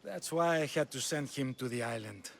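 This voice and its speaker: An actor playing a wry, proud trainer of champion fighters in a game, with a dry, storytelling manner. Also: male voice, mid-range voice, moderate pace, lightly acted. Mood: wry, informative. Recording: clearly audible ambient background noise.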